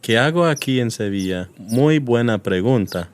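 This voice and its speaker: A man with a London accent, hosting a Spanish lesson and speaking clearly, at a teaching pace. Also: medium pitch, lively intonation. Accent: London English. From England. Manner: friendly, clear, teaching pace with repeat-after-me pauses. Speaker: language course host. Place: outdoors in a public garden.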